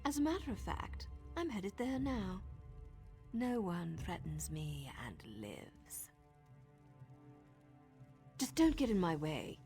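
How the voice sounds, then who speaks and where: An actress voicing a sly, seductive assassin in a video game, with a cool, teasing and menacing manner. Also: female voice, medium pitch, very animated, takes it slowly, pauses often, dark tone. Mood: wary, determined. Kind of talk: video game character dialogue. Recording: music behind the voice.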